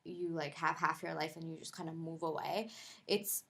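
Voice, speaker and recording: A woman from Pakistan, a podcast guest, talking casually and thoughtfully with many pauses, fillers and restarts. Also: low voice, steady tone, vocal fry, a bit bright. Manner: thoughtful, halting, lots of "like" and restarts. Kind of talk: casual conversational interview.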